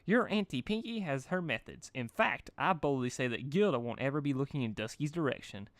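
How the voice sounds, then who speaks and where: A man's voice: mid-range voice, lively intonation, a little fast, fairly bright tone, dry recording. A man voicing a bubbly, childlike pink cartoon pony girl with playful baby talk for a story reading. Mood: perky, sweet.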